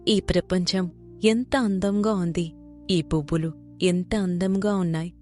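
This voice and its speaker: Woman voicing a gentle young princess, music behind. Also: on the low side, fairly even intonation, a little slow, close to an everyday voice. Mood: wistful. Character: humbled young princess in hiding, gentle and kind. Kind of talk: cartoon moral story dialogue.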